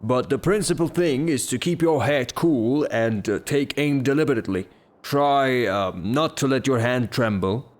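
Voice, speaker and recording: An actor playing a hot-tempered, blustering rustic gentleman in a theatrical audio drama, declaiming his lines. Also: medium pitch, normal speed, lightly acted. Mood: instructing.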